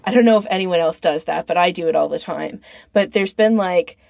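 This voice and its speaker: A woman's voice: lowish voice, brisk, creaky, a little mellow, dry recording. A woman co-host chatting casually on a podcast. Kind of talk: casual chat.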